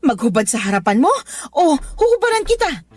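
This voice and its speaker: Lonely, seductive widow, swooping voice. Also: female voice, sits high, big pitch swings, fairly quick, a little mellow, no room sound. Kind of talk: Tagalog radio drama acting. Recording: background music under the voice.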